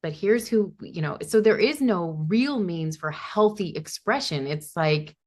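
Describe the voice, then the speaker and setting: A woman with a notably low-pitched voice, talking as a guest therapist on a podcast. She sounds earnest and enthusiastic, often restarting her phrases mid-thought. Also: expressive, measured pace, a little mellow. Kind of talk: conversational interview.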